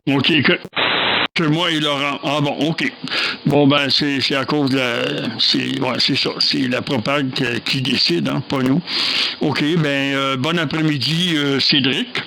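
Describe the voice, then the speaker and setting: A man's voice: fairly high pitch, measured pace, gravelly, pushed and bright, a little hiss or hum, low-quality recording. A man with a Quebec French accent chatting casually on amateur radio, hesitantly passing turns around the group. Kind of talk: casual radio contact chat.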